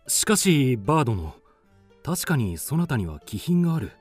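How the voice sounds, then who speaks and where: An actor playing a brave, earnest young samurai of old Japan, using archaic warrior speech in a radio drama. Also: male voice, medium pitch, very animated, slow, faint music behind. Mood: earnest, curious.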